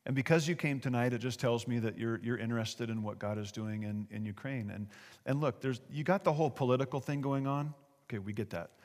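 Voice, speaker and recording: An American man giving an informal church presentation to an audience, with a folksy, halting, conversational manner. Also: mid-range voice. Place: large hall, speaking to a gathering. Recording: echoey hall with long reverb.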